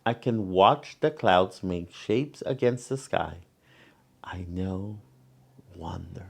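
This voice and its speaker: Slow, low-voiced man reading to children. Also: creaky. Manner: gentle, deliberate, pausing between phrases. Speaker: children's storyteller and host. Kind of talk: read-aloud of a picture book with asides to children.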